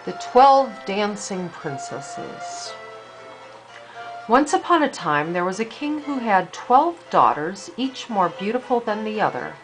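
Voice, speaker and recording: An older woman, a grandmother reading a storybook aloud to a child in an expressive, deliberate storytelling manner. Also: mid-range voice, soft background music, poor audio.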